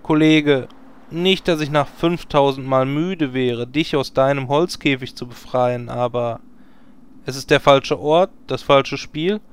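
Man playing a smooth-voiced, jaded sarcastic hero. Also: medium pitch, unhurried, natural voice. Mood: exasperated, sarcastic. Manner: weary, sardonic grumbling. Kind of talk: parody audio drama acting.

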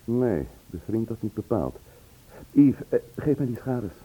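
An actor playing a shrewd, curious husband who calmly takes charge in a radio play, questioning in a measured, controlled way. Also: male voice, medium pitch, slightly rough, low-quality recording. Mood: cool, curious.

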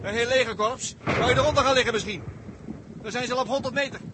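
A male actor playing a wry, talkative, excitable space explorer in a 1960s Dutch radio play. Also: very high-pitched, quick pace. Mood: alarmed. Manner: wry, talkative running commentary. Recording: noisy old 1960s radio recording.

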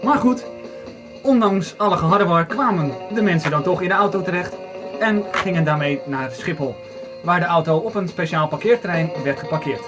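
A man's voice: high voice, brisk, music in the background. A man narrating an amateur radio play as a storyteller.

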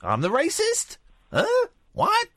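Teasing late-night radio host, lively voice. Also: male voice, slow pace, creaky, no room sound, studio quality. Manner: teasing, deadpan jokes, swings from low mock-gloom to high protest. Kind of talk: late-night call-in banter.

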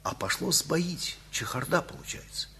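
An actor playing an earnest middle-aged engineer in a radio comedy, musing effusively in an emphatic, rambling way. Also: male voice, on the high side, sing-song, normal speed, sharp tone, slightly rough, exaggerated voice. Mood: flustered complaining. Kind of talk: Russian radio comedy play acting.

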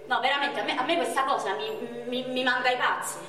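An anguished woman played by a stage actress speaks in a very high voice with a Roman accent. She is raw, insistent and repetitive in her grief and rage. The sound is heavily echoed and far from the microphone, with music behind. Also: steady tone, lightly acted. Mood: stunned, bitter. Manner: repetitive, halting, swearing, insistent. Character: anguished woman raging and grieving over a young man's death. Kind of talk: theatrical monologue, raw and colloquial.

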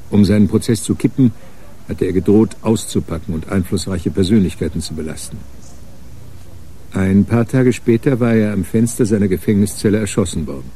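A man's voice: deep voice, mellow, slight background noise, lightly acted. A man narrating a radio crime drama from a script, as a sober storyteller.

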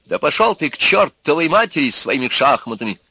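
A man reading aloud, voicing a pushy man who insists loudly and curses when he is refused. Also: on the high side, sing-song, husky, no echo, pitched above the performer's own voice, heavily acted. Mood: angry. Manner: loud, insistent, quick to curse.

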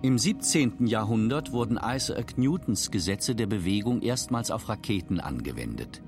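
A man's voice: fairly low pitch, lively intonation, normal speed, music in the background. A man narrating a scripted documentary in formal, complete sentences.

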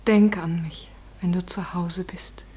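An actress playing a young woman in a 1950s German radio drama, saying farewell in a numb, husky voice as if reciting by rote. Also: on the low side, fairly even intonation, slow pace, a little mellow. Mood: numb, sorrowful. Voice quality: husky, breathy. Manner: rote, like a recited nursery rhyme.

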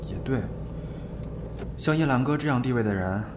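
A man's voice: medium pitch, animated, moderate pace, background noise, natural voice. An actor playing a polite, smitten young male film star in a Mandarin audio drama, speaking hesitantly. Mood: wistful. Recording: loud music behind the voice.

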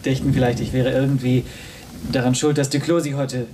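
A man's voice: medium pitch, level tone, brisk, recorded from a distance, background noise, close to an everyday voice. An actor playing a polite, cautious man who talks in a tentative, ingratiating way in a German radio play. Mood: ingratiating, careful. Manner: conciliatory, tentative.

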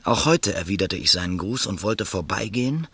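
Deep male lead narrating in the first person, nervous in scenes; dry studio sound. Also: animated, brisk, fairly bright tone, slightly rough, dry recording. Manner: hesitant, nervous in dialogue. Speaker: narrator and lead in a radio play.

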